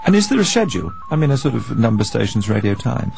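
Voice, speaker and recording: A man's voice: medium pitch, sing-song, fast talker, creaky, a little mellow, soft background music. A man presenting a radio documentary, narrating and asking questions in a dramatic storytelling manner.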